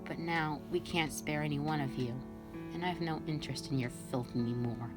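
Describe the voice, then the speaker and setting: A woman's voice: low-pitched, normal speed, over loud music, natural voice. A woman voicing a sadistic, vengeful female fox spirit in a scripted audio drama, theatrical and condescending. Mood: cold, commanding.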